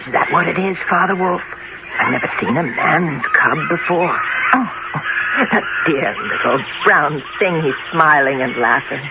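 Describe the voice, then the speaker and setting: Expressive, protective mother wolf. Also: deep voice, measured pace. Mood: tender delight. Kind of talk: old-time radio drama acting. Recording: muffled, noisy vintage radio recording.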